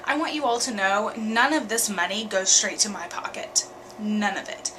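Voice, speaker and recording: A woman's voice: medium pitch, animated, noisy background. Sniffly young woman with a cold. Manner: earnest, frequent ums and pauses. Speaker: vlogger. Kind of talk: candid casual vlog. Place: bedroom at night.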